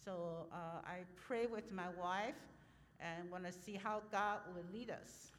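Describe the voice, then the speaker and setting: A woman's voice: fairly low pitch, slow pace. A woman interpreting a sermon into English, hesitant and self-correcting with many fillers. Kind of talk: consecutive church interpreting. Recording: long hall reverb.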